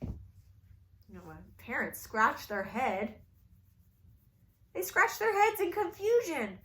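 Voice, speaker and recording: A woman telling a folktale at a children's storytime, playful and animated, inviting the kids to join in. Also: sits high, takes it slowly. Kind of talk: storytime read-aloud for kids.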